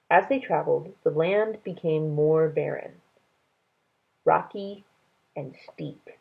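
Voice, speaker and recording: Slow, gravelly woman reading a story aloud. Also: fairly low pitch, narrow, tinny audio. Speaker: read-aloud reader. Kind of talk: storytelling narration to children.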